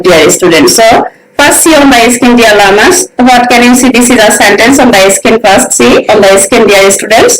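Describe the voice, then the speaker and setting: A woman's voice: fairly high pitch, rough recording. A woman with a Bangladeshi accent, a native Bengali speaker, teaching an online grammar lesson and repeatedly addressing her students directly.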